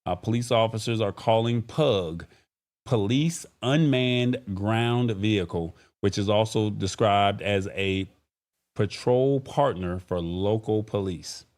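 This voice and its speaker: A man's voice: lowish voice, slow, a little mellow. A man hosting a live-streamed talk show in an informal, conversational way, speaking directly to viewers. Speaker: show host.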